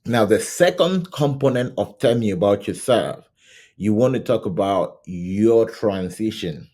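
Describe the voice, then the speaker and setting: Low, mellow-voiced man coaching to camera. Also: expressive, a little slow. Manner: conversational, repeats "right?" and restarts often. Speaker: scrum coach. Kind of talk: teaching to camera. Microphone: camera mic, facing camera.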